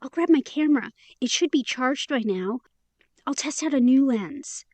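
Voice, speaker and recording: Actress for chatty young woman in romance audio drama; high register, close dry sound, sweet but stubborn. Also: animated, normal speed, darkish tone, no room sound. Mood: bright, eager.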